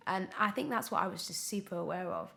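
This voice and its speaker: Young woman, creaky voice, casual interview talk, hesitant, reflective, thinking aloud. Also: fairly low pitch, lively intonation, fast talker, sharp tone. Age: young adult. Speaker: podcast guest, actress. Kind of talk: candid casual interview conversation.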